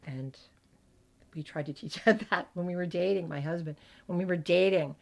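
A middle-aged American woman with a gravelly voice, chatting as a podcast host and telling animated stories. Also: on the low side, normal speed. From United States. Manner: chatty, animated storyteller, laughs often. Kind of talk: casual chat.

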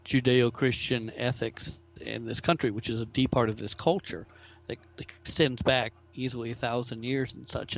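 Man, American, podcast guest, deliberate, academic tone. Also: mid-range voice. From United States. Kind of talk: casual discussion.

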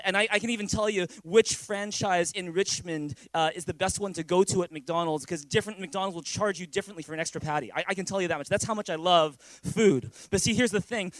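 Male pastor, higher-pitched, conversational storytelling sermon, repeats phrases, dry recording. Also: no echo, low-quality recording. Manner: repeats phrases and checks in with listeners.

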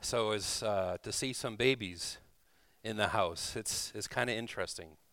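A man's voice: lowish voice, moderate pace, fairly bright tone, no echo. A man preaching informally to a congregation in church, folksy and conversational, often checking in with little tag questions. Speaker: preacher, visiting church leader. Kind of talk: informal sermon to a congregation, with scripture reading and prayer. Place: speaking live in a church.

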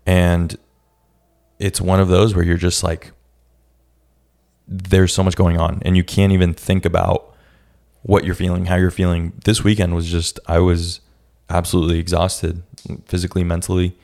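A young man talks through his week on a solo podcast, his voice low and raspy with a gravelly edge. He speaks in an even, flat tone, restarting his sentences often. His delivery is casual and reflective. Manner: reflective, halting, many restarts. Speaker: podcast host.

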